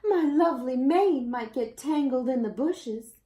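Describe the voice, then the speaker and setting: A vain, prissy horse from a storybook , voiced by a woman. It complains in a fussy way, a little high in pitch. The room adds echo. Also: expressive, measured pace, dark tone.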